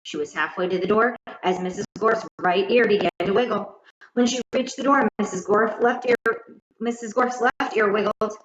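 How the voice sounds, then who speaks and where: A woman narrating a children's story aloud in an expressive, dramatic, carefully enunciated way. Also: mid-range voice, moderate pace. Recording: echoey room, slightly muffled sound.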